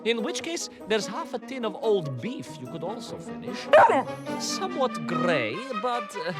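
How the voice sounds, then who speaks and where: An actor playing a bumbling, boastful but kindly Soviet cosmonaut, telling tall tales for a game, dramatic and rambling. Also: male voice, sits high, very animated, a little slow, over music. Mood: chatty, fond. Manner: dramatic, rambling, full of hesitant asides. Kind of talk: theatrical storytelling game voice acting.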